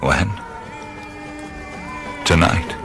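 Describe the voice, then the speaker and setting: A man playing a wry, uneasy adventurer in a radio drama, muttering halting reactions. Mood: calm. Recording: voice over loud music bed.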